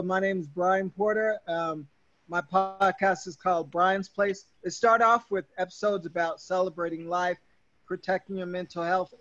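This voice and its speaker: A man's voice: high-pitched, a bit bright. A man from the Bay Area, a podcast guest, earnestly describing his show. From San Francisco Bay Area. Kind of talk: casual chat. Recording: slightly muffled mic.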